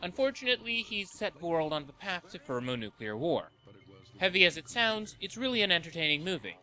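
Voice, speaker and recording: A man's voice: sits high, big pitch swings, fairly quick, bright, forceful tone, poor audio. A man reading a scripted review narration as the host of a countdown list video.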